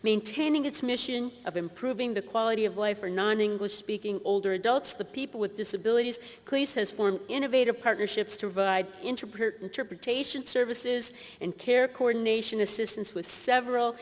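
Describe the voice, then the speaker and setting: A woman presenting an award and reading the citation in a formal, deliberate way at a conference. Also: a little high. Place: large conference hall. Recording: hall reverb with crowd.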